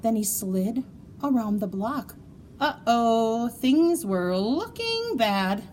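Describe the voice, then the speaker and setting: A woman reading a children's story aloud in an expressive, playful way, with dramatic emphasis and drawn-out words. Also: on the high side, a little hiss or hum. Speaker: storybook reader.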